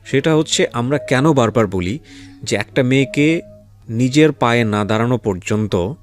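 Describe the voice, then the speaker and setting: A man's voice: medium pitch, expressive, normal speed, soft background music. A man hosting a life-story radio show, earnest and preachy as he frames the guest's story.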